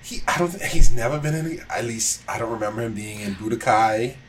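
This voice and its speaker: A man's voice: mid-range voice, brisk, background noise. A man in a casual podcast chat about games, thinking aloud hesitantly as he talks. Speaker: podcast panelist.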